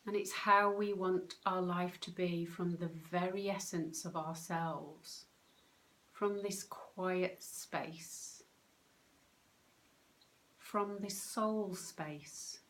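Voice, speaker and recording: Slow, low-pitched woman guiding meditation. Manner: calm, soothing, unhurried instruction with pauses. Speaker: meditation guide, soul coach. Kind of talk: guided meditation.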